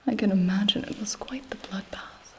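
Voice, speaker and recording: A woman's voice: mid-range voice, normal speed, close to an everyday voice. A woman voicing an imperious, seductive vampire queen in a whispered ASMR roleplay, with haughty, sardonic, formal phrasing. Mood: scheming disdain.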